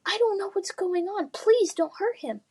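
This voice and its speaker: A woman's voice: extremely high pitch, expressive, normal speed, darkish tone, a little hiss or hum, voice pushed up. A woman voicing a brave, defiant schoolgirl in a children's fantasy storybook, earnest and emphatic. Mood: pleading.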